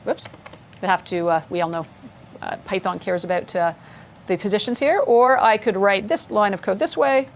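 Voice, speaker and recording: A woman's voice: mid-range voice, moderate pace, a little hiss or hum. A woman casually walking through code as an instructor, chatty and upbeat, laughing along with her co-host. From mother from Scotland. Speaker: coding instructor. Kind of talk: casual screen-share coding lesson.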